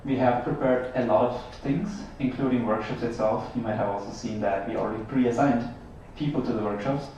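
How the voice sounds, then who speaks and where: A man opens an assembly with an unscripted, somewhat hesitant and rambling welcome. His voice is dark and relaxed, heard at a distance in a heavily echoing hall. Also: mid-range voice, fairly quick, few pauses. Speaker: board member opening an assembly. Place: large echoing room, heard from far off. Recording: echoey hall, distant, dull, faint noise.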